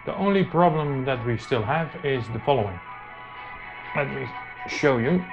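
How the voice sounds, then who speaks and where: A man presenting an informal hands-on tech tutorial, speaking haltingly with very frequent hesitations. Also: mid-range voice, expressive, gravelly. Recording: muffled lo-fi mic, music behind.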